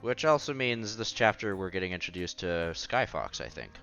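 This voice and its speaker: Man, visual novel read-aloud, lively varied pitch, casual asides, small stumbles, faint music behind. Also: moderate pace, creaky, lo-fi sound. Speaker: host reading a visual novel aloud for viewers. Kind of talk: casual read-aloud playthrough with side comments. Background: background music.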